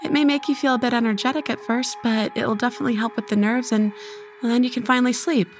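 A woman's voice: fairly high pitch, fairly even intonation, quick pace, over music, lightly acted. A woman playing a breezy, persuasive, gossipy young college friend in an audio horror drama. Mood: reassuring.

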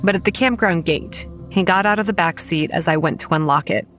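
An actress playing a wary, anxious young campground manager who narrates a horror audio drama in the first person. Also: female voice, fairly low pitch, brisk, fairly bright tone, soft background music, lightly acted. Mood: wary narration.

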